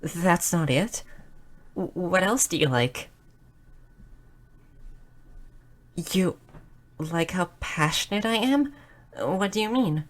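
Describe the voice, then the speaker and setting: A woman voicing a shy, nerdy, curious young goblin girl in a roleplay audio drama, speaking softly and earnestly with small stammers. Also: fairly low pitch, expressive, slow. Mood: bashful, touched. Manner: soft, hesitant, stammering, earnest.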